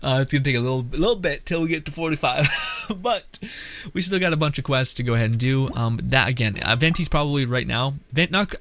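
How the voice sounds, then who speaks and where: A young man giving casual, rambling gameplay commentary as a streamer, thinking out loud. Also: mid-range voice, fast talker, noisy background. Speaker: game streamer.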